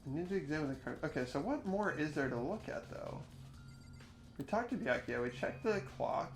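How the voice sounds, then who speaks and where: A man with a high, animated voice takes part in a group game playthrough. His talk is casual, loose banter between friends. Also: a little fast, vocal fry, faint music behind.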